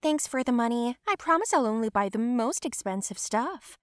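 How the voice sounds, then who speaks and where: A woman's voice: fairly high pitch, lively intonation, normal speed, no room sound, clean studio sound, voice pushed up, natural voice. A woman voicing a spiteful, shrieking young female cousin in a dramatic story. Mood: taunting.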